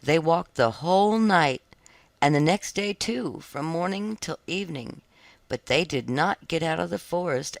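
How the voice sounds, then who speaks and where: Animated, creaky fairy-tale narrator. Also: medium pitch, dry recording. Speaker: audiobook narrator.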